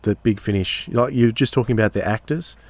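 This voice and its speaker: A man with a fairly low voice hosts a podcast in a casual register. He is measured, breaking his phrases with short pauses, and the sound is very dry with no room around it. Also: darkish tone, no echo. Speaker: podcast host.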